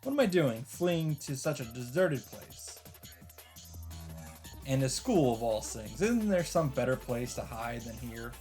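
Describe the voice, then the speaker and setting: A man's voice: medium pitch, very animated, measured pace, a little mellow. A man with a mid-range voice reads a visual novel aloud as a let's player. He moves between story narration and casual, joking asides. Game music plays behind his voice.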